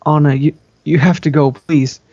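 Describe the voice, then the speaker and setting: A man voicing a timid, anguished young woman in love, halting and stammering as they plead, on a lo-fi home microphone. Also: fairly high pitch, fairly even intonation, a little mellow, dry recording.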